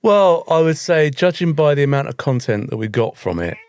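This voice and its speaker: A man's voice: a little high, animated, a little fast, darkish tone, no room sound, clean studio sound. A British man co-hosting a podcast, chatting casually in a wry, self-mocking way. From Britain. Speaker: podcast co-host. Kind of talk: casual chat.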